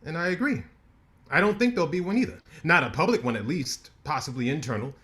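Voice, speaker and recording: An actor playing a brash, ambitious lawyer who talks with cocky sarcasm in a staged play reading. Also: male voice, fairly high pitch, animated, fast talker, fairly bright tone.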